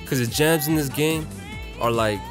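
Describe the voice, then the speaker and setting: A man's voice: mid-range voice, moderate pace. Casual young man over faint music. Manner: irreverent, profane, conversational. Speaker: video essayist. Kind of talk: casual, slangy video essay narration.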